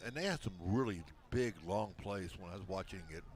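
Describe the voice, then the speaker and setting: A man with a big, loud voice calling high school football play-by-play from a press box, mixing calls with folksy jokes. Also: fairly quick, a little hiss or hum. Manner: folksy, joking banter between calls. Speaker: play-by-play announcer. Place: press box at outdoor stadium.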